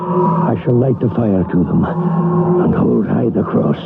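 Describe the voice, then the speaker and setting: A grave, commanding priest, gravelly, from an old radio play. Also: male voice, fairly low pitch, slow, loud music behind the voice, full character voice. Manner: solemn, commanding. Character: stern middle-aged male priest exorcist. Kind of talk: 1970s radio drama acting. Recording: tinny lo-fi old radio broadcast with loud noise.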